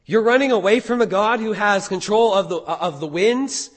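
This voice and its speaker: A hoarse man preaching a sermon as pastor, animated, with rhetorical questions. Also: sits high, moderate pace, in a large hall.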